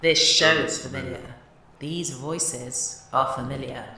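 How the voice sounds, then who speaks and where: A man's voice: mid-range voice, fairly even intonation, slow pace, creaky, pushed and bright, heavily acted. An actor playing a menacing, theatrical male witch who taunts and controls in an audio horror drama. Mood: smug menace. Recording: echoey, distant, faint noise.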